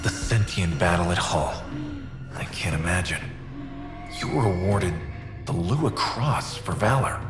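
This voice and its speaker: Low-voiced, earnest soldier father. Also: expressive, a little slow, long pauses. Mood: respectful, warm. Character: loyal, respectful soldier and devoted father. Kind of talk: dramatic game cutscene acting. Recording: echoing room, music behind.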